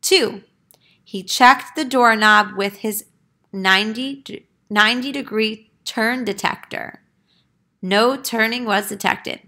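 A woman reading a picture book aloud to young children in a deliberate, emphatic teacher's manner. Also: a little high, pushed and bright.

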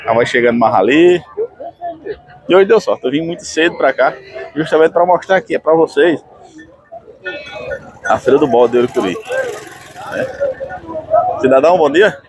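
A man's voice: on the high side, normal speed, long pauses, slightly rough, no echo, poor audio. A man from Pernambuco, vlogging and chatting with sellers at an outdoor livestock market. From Pernambuco, Brazil. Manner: chatty, friendly, repeats answers back. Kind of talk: casual on-the-spot interviews. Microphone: handheld mic held to guests. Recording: outdoor market with crowd noise. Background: crowd chatter and animals.

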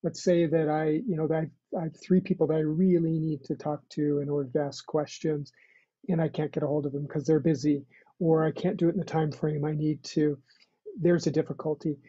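Older man mentor, very dark husky voice, relaxed and musing, dull dry call audio. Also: fairly high pitch, a little fast, no room sound. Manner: relaxed, thinks aloud with "you know". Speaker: program mentor answering questions. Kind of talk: casual explanation on a video call.

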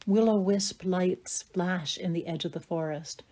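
A woman's voice: on the low side, measured pace, mellow, slight background noise. A woman reading stage directions as scripted narration for an audio play.

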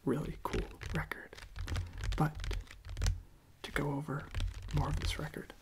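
A young adult ASMR host speaking in a soft, gentle whisper, halting and repeating words, close to the microphone. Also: medium pitch, flat delivery, background noise.

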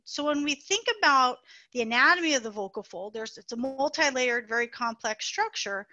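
A woman doctor teaches in a webinar over a video call, explaining things patiently with everyday comparisons. Her voice sits high and sounds bright. The audio is dry and a little dull. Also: dry recording. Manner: explanatory, uses plain comparisons. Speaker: voice doctor presenting. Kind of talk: webinar lecture.

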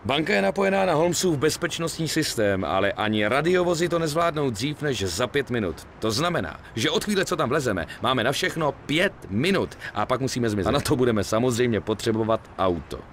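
A man's voice: mid-range voice, lively intonation, hardly any pauses, a little hiss or hum, natural voice. A man voicing a weary, streetwise middle-aged gangster in a Czech video game dub. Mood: businesslike. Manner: colloquial, grumbling, swearing.

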